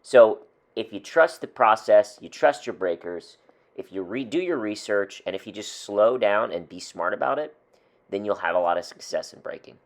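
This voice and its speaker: Man, low-pitched voice, recovering from a cold, steady instructive delivery. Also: moderate pace. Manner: steady, instructive, counts off rules. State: getting over a cold. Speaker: trading card hobby channel host. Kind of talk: scripted advice to camera.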